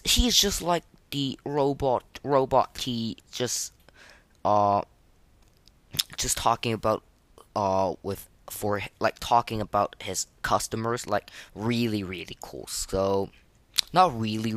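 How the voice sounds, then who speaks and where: Halting, rambling podcast host, dry sound. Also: mid-range voice, fairly bright tone, no room sound. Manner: rambling, halting, repeats words and phrases. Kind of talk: casual chat rating game voice lines.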